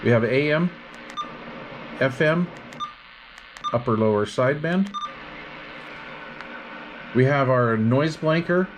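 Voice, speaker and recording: A man's voice: mid-range voice, expressive, slow, long pauses, background noise. A man reviewing radio equipment in a casual, hands-on demonstration, explaining things step by step without hurry.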